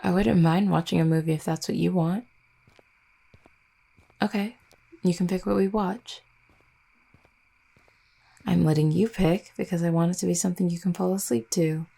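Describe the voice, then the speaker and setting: A woman's voice: fairly low pitch, steady tone, normal speed, creaky, pauses often, dark tone. A woman playing an affectionate, teasing vampire girlfriend in an intimate roleplay audio, speaking in a tender, conversational way. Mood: playful coaxing.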